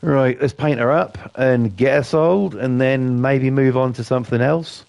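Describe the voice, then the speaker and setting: Gravelly man, blunt and sweary. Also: mid-range voice, fairly even intonation, unhurried, darkish tone, no room sound. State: croaky voice from a cold. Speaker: gaming channel host. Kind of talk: casual gameplay commentary with rants.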